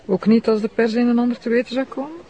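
A woman's voice: on the high side, steady tone, quick pace, mellow, lightly acted. A woman playing a persistent public prosecutor in a TV drama, polite but insistent as she questions, with a Flemish accent. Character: probing, persistent female public prosecutor posing as journalist. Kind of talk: naturalistic TV drama acting. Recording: noisy background ambience.

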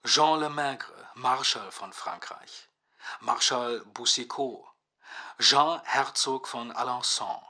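A man with a rough, sharp voice formally announcing names and titles in a radio play. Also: medium pitch, slow, heavily acted.

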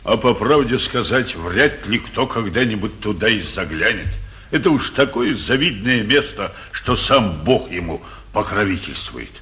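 An actor playing an anxious, blustering middle-aged provincial mayor in emphatic, theatrical 1940s radio comedy style. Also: male voice, lowish voice, animated, a little slow, bright, forceful tone. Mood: fussy, complaining.

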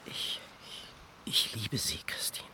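An actor playing an earnest, jealous young suitor who speaks formally and urgently in a radio play. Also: male voice, takes it slowly, bright, forceful tone, in a whisper. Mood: pleading.

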